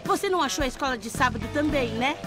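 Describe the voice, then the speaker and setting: A gullible little boy dirt bike from a Brazilian children's cartoon. The voice is extremely high and childlike, excitable and quick to worry. Loud music runs underneath. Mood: chatty. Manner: excitable, melodramatic. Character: gullible, dramatic young boy dirt bike, easily worried. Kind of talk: animated children's cartoon dubbing.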